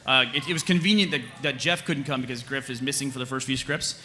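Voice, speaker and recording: Fast-talking man with a bright, forceful voice. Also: medium pitch. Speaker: panelist and cast member at a fan convention. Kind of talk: casual panel talk answering audience questions. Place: convention panel table before an audience. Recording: some background noise, live convention panel.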